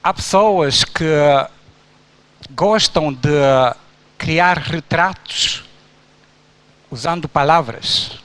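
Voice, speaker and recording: A man speaks slowly and earnestly, his voice sitting a little higher than most. He preaches in a measured, rhythmic way and builds long spoken lists. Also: pushed and bright. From Romania. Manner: slow, earnest, rhythmic listing. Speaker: pastor. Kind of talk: sermon at an induction service.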